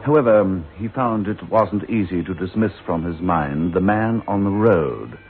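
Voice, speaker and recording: A man's voice: sits low, lively intonation, unhurried, faint music behind. A man narrating a scripted radio drama as a wry storyteller. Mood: measured. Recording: tinny old radio recording.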